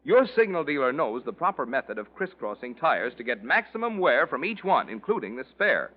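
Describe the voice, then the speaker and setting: A man's voice: mid-range voice, sing-song, a little fast. A man delivering a 1940s radio sponsor announcement with polished, emphatic salesmanship. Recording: dull 1940s radio recording.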